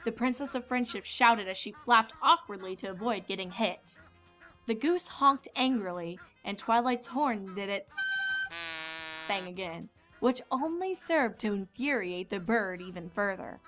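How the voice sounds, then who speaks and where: A woman's voice: mid-range voice, animated, measured pace, fairly bright tone, low-quality recording. A woman reading scripted storybook narration as the narrator. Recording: music behind the voice.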